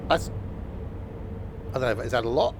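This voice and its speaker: A man's voice: medium pitch, lively intonation, moderate pace, noisy background. A man streaming a train simulator, chatting casually in a rambling, self-mocking way. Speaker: streamer playing a train sim. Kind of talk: casual gameplay commentary.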